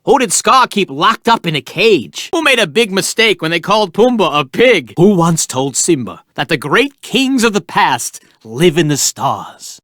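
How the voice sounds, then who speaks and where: A man's voice: high voice, pushed and bright, no echo, clean studio sound, heavily acted. A man voicing a brash, wisecracking cartoon meerkat who hosts a video game quiz with theatrical joking asides. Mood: wry, playful. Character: wisecracking, hammy cartoon male meerkat sidekick. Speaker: quiz game host character.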